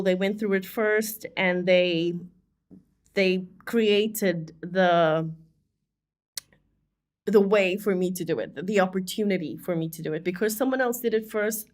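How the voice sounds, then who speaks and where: A woman co-hosting an English-learning podcast. She explains phrases with everyday examples and thinks out loud as she goes. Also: mid-range voice. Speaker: English teacher and podcast co-host. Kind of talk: casual teaching chat.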